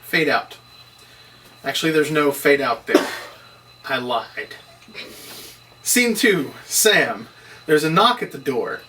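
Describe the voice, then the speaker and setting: A man's voice: on the high side, expressive, takes it slowly, pauses often, pushed and bright. A man reading screenplay stage directions at a table read in a clipped, matter-of-fact way. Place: indoor table read, recorded on camera. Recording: distant mic, faint room noise.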